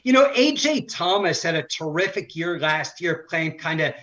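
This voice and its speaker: A man's voice: on the high side, expressive, moderate pace, a bit bright, muffled recording. A man who works as a sports broadcaster, giving conversational analysis as an interview guest.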